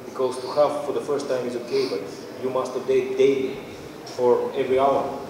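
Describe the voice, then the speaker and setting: A man's voice: medium pitch, little pitch movement, moderate pace. A man with non-native English phrasing, asking questions of presenters as a jury member. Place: indoor event room. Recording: echoey hall from far off, noisy.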